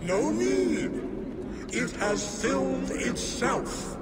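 A man's voice: extremely high pitch, very animated, very slow, over loud music, heavily acted. An older actor playing an old, boisterous and imperious frost giant king in a video game, with a grand, commanding, aged delivery. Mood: proud, welcoming. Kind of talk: video game cutscene acting. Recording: loud ambient noise behind.

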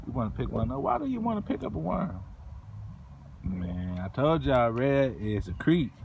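Brief: young male vlogger, joking chatty commentary, outdoor phone audio with steady background noise. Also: mid-range voice, moderate pace, gravelly, dull audio. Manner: playful, teasing, laughs often. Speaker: vlogger filming family. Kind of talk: casual running commentary to viewers. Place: outdoors on a wooden pier by a pond. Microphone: phone camera held in hand.

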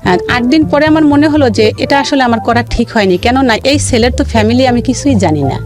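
Female interview guest, Bangladeshi accent, higher-pitched, detailed and halting personal storyteller, clear music bed underneath. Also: lively intonation. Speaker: guest telling own life story. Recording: music playing behind the voice. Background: background music.

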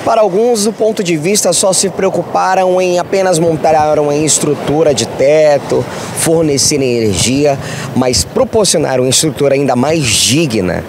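A man's voice: fairly high pitch, moderate pace. A young Brazilian man giving an on-location TV news report outdoors with a handheld microphone. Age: young adult. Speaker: TV field reporter. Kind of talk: on-location news piece to camera. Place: outdoors beside a street market. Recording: clear street and traffic noise.

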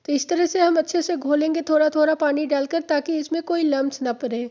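Slightly hoarse high-voiced woman teaching cooking. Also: steady tone, fairly quick, warm tone, highs cut off. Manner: friendly, instructive, repeats steps. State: slightly hoarse from a sore throat. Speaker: home cooking channel host. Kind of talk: step-by-step cooking tutorial. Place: home kitchen, indoors.

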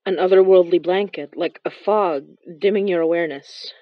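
A woman's voice: fairly low pitch, normal speed, no echo, lightly acted. Wistful young female narrator for horror audio drama, played by a woman; confiding, apologetic, dry but slightly dull sound. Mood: reflective, confiding. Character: young Filipino immigrant woman, reflective, wistful, awkwardly apologetic. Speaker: narrator and lead of a horror fiction podcast.

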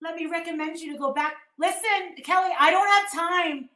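A woman's voice: very high-pitched, moderate pace, pushed and bright, echoey room. A middle-aged American woman ranting casually on a livestream in a blunt, scornful, sweary manner. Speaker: YouTube host. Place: living room at home, indoors.

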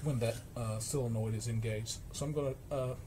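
A man giving an informal, halting, explanatory narration of a hands-on repair, as a repair vlogger at a workbench. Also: medium pitch, fairly even intonation. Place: indoor electronics workbench. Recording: clearly noisy workshop camera recording.

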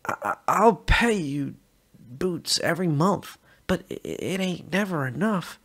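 Brief: male narrator doing a sly raspy smuggler boss, high and jittery. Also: expressive, unhurried, fairly bright tone, full character voice. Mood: nervous bluster.

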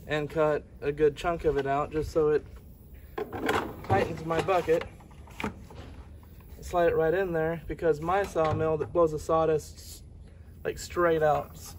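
A man in his thirties casually explaining tips beside a sawmill outdoors by a barn, filming on a handheld phone. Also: fairly high pitch. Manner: plainspoken, explains while working with his hands. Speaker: sawmill hobbyist showing tips. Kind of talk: casual how-to demonstration. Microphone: phone camera held by the speaker. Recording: outdoor phone audio with clear background noise.

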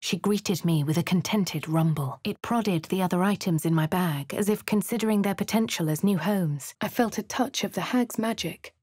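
An actress playing a posh female adventurer from a video game, narrating her deeds with a husky voice in a posh English accent. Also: fairly low pitch, moderate pace, few pauses, a little mellow, no room sound, studio quality. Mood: measured. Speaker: player character voice. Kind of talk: game voice lines, first-person narration.

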